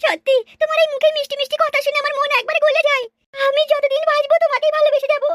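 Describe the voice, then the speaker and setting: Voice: extremely high pitch, little pitch movement, very fast, fairly bright tone. A performer voicing a brazen, flirtatious married village woman in a cartoon, with exaggerated sing-song sweetness. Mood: gushing. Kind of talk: cartoon dialogue.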